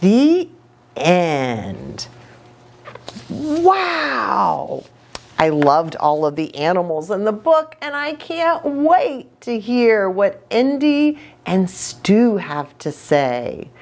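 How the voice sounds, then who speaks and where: Slow, expressive woman hosting children's story time. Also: mid-range voice, a little hiss or hum. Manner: expressive, addressing young children.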